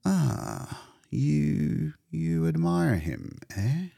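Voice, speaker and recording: A man's voice: a little high, big pitch swings, extremely slow, creaky, mellow, no room sound, studio quality, voice pushed up, heavily acted. A man voicing a sardonic painter in a storybook reading, with a wry, mock-polite, needling manner. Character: sardonic, bleakly mocking male painter.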